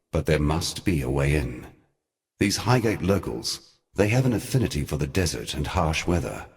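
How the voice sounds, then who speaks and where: An ancient god of a video game, speaks in an extremely deep voice. He is grave and weary, with a scornful edge. His storytelling is formal, measured and theatrical. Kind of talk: scripted dramatic video game narration.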